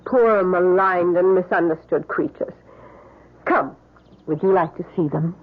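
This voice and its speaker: An actress playing an earnest, genteel older millionairess and kindly philanthropist in an old-time radio drama, speaking theatrically. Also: female voice, lowish voice, unhurried, natural voice. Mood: fond, proud. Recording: noisy, tinny old radio broadcast.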